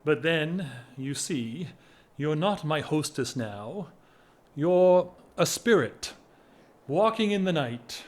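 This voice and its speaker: A man acting a whimsical, restless gentleman in an audio drama, with theatrical, wordy, teasing formality. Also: a little high, animated, takes it slowly. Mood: playful, whimsical. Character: whimsical, restless English gentleman, an aristocrat in love.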